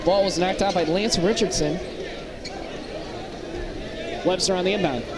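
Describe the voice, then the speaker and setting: A man doing live play-by-play commentary of a basketball game from a high school gym. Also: unhurried. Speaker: play-by-play announcer. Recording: loud crowd and gym noise. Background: basketball crowd, bouncing balls.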